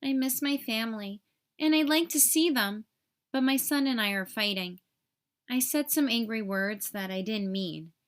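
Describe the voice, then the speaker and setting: A woman's voice: a little high, normal speed, natural voice. A woman voicing a kindly, lonely, regretful old man in a children's storybook. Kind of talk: children's storybook read-aloud.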